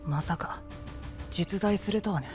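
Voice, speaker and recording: A man's voice: high-pitched, a little slow, over music. Cool, sardonic adolescent boy knight. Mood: intrigued. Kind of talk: anime-style voice drama acting.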